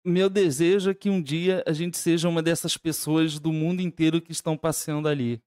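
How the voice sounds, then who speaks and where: Brazilian woman reactor, hesitant, mid-pitched, dry close sound. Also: fairly even intonation, fast talker, mellow, no echo. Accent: Brazilian Portuguese. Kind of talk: casual reaction commentary.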